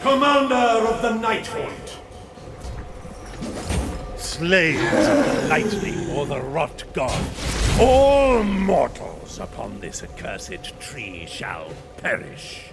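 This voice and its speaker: An actor playing an imperious undead spirit commander in a video game, gravelly and theatrical, cruel and full of disgust for the living. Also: male voice, on the high side, wildly swooping pitch, music in the background, full character voice. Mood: menacing, imperious. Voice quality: gravelly, creaking, hissing. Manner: slow, grandiose, menacing, swinging from whisper to shout.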